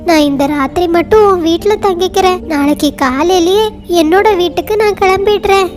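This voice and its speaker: A begging, panicky cartoon crow, voiced by a woman, fairly high and a little loud, hiding a sly nature. Music plays behind. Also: a little fast. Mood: pleading. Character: sly crow pretending to be scared.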